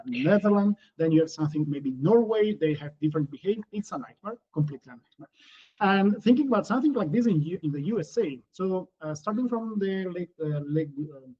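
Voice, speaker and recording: A man from Italy with an Italian accent, speaking as a guest on a panel, halting and often correcting himself mid-word. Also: a little high, dark tone, husky, muffled recording. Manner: halting, frequent self-corrections. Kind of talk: panel discussion.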